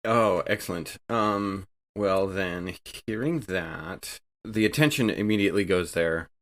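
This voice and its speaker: Man, deep voice, tabletop game player, casual improvised roleplay. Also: a little slow, fairly bright tone.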